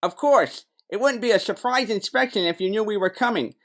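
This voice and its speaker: A man's voice: sits high, brisk, fairly bright tone. Casting: man to play oddball private-eye partner in comic noir radio play, easygoing, sincerely absurd. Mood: bluffing. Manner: breezy, earnest about absurd things. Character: quirky, carefree male detective sidekick in sneakers. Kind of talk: comic noir audio drama.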